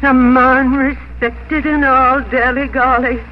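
Fretful, self-pitying mother of grown children, slow and wailing, on a muffled, noisy vintage radio recording. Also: high-pitched, flat delivery. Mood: bitter lamenting. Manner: wailing, lamenting, melodramatic. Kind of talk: 1950s radio drama acting.

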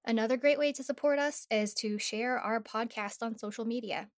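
A woman's voice: on the high side, normal speed, no room sound. A woman who created the show, reading scripted podcast announcements in a playful, upbeat manner. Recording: dry, faint music behind.

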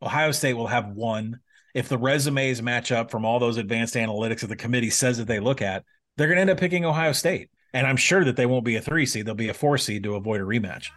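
A man co-hosting a betting podcast, breaking down college football matchups in a quick, analytical way with fillers. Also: mid-range voice, fairly bright tone. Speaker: sports betting podcast co-host. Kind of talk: casual betting analysis chat.

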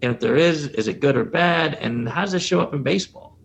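A man who works as a coach, guest on a podcast, asking long, thoughtful questions at an unhurried pace. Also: a little high, vocal fry. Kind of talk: casual podcast conversation.

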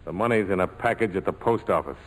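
An actor playing a tough, sardonic major in 1940s radio drama style, wisecracking and defiant. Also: male voice, deep voice, fast, a bit bright, low-quality recording. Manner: wisecracking, hard-boiled.

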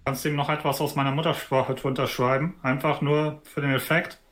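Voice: mid-range voice, level tone, brisk. A player in a tabletop roleplaying session, playful and jokey, dropping English phrases into the talk. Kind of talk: casual tabletop roleplay chat.

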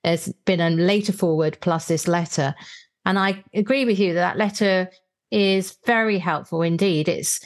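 A woman's voice: lowish voice, normal speed. A woman hosting a podcast, chatting and explaining as she discusses books, thinking aloud as she goes. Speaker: podcast host. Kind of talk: conversational book review podcast.

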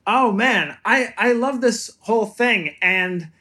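A man's voice: sits high, expressive, slow, bright, forceful tone. A man in his fifties, a guest comedian in a casual chat, talking in an eager, thoughtful way with many restarts. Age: 50s.

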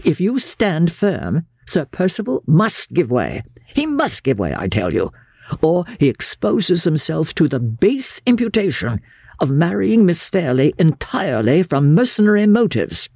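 A man's voice: on the high side, wildly swooping pitch, unhurried, vocal fry, darkish tone, breathy edge, dry recording, clean studio sound, voice pushed up, full character voice. A man voicing a stern, self-controlled but exasperated lawyer in an audiobook, speaking formally and insistently. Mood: exasperated, urgent. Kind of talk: dramatised audiobook character voice.

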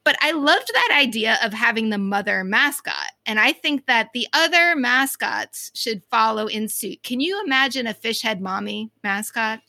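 Gravelly Boston woman co-hosting a podcast. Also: on the high side, expressive, moderate pace, hardly any pauses, sharp tone, dry recording. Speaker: podcast co-host. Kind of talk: casual podcast chat.

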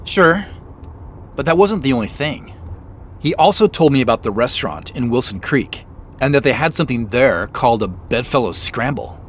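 An actor playing an inquisitive, slightly sheepish amateur sleuth in a naturalistic audio drama, talking in an easygoing, conversational way. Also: male voice, mid-range voice, expressive, moderate pace, lightly acted. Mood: puzzled, earnest. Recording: faint vehicle noise behind.

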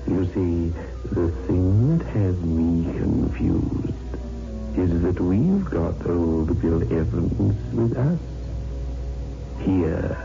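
A man's voice: low-pitched, lively intonation, gravelly, exaggerated voice. An actor playing a macabre, slyly amused host of horror tales on 1960s radio, teasing and drawing out his words. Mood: sly, ominous. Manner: slow, drawn-out, teasing delivery. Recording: dull old radio recording, music behind.

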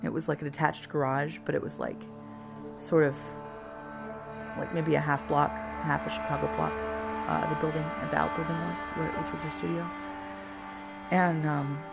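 A woman telling a personal story casually and haltingly, searching for her words. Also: deep voice, little pitch movement, gravelly, loud music behind the voice.

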